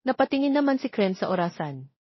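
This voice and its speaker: A woman's voice: medium pitch, big pitch swings, quick pace, dry recording, lo-fi sound. A Filipino woman narrating a scripted romance story.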